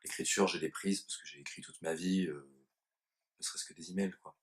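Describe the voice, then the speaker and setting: A man interviewing a guest, asking informal questions in a halting way with frequent restarts. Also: deep voice, fairly even intonation.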